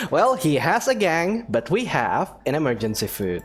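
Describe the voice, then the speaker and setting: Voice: medium pitch, big pitch swings, takes it slowly, music in the background. A gaming video host giving casual, playful let's-play commentary in a chatty way.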